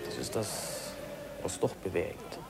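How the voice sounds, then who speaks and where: A man from East Germany reflecting haltingly in an interview, subdued and repeating himself. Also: sits low, expressive. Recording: clearly noisy location recording.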